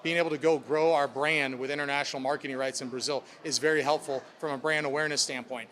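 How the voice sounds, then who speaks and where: Guy exec doing press q&a, slightly higher voice, upbeat corporate talk. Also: fairly even intonation, quick pace, a bit bright. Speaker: sports club president at a press conference. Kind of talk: answering reporters' questions.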